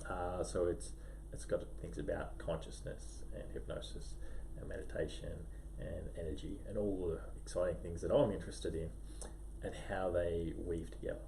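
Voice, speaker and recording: A man's voice: low voice, little pitch movement, normal speed, gravelly, mellow, low-quality recording. A man hosting a podcast, introducing his guest in a hesitant way with many restarts. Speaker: podcast host. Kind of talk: casual interview chat. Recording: faint background noise.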